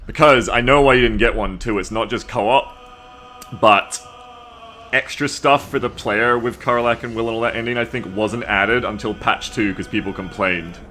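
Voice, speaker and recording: Bright-voiced, joking male streamer. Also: fairly low pitch, steady tone, normal speed, soft background music. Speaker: game streamer. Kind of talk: casual co-op stream chat.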